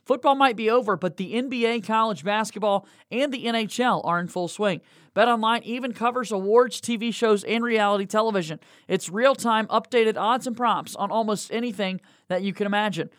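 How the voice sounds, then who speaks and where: A man's voice: sits high, fairly quick, fairly bright tone, dry recording, clean studio sound. A man hosting a sports podcast, moving between introductions, sponsor reads and interview questions. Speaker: podcast host.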